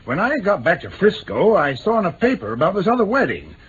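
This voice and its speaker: A man playing a plain-spoken, adventurous American gentleman in vintage radio drama, with an informal, direct American delivery. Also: high voice, wildly swooping pitch, fairly quick. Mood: matter-of-fact. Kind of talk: 1950s-60s BBC radio drama acting. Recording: dull vintage radio recording, faint noise.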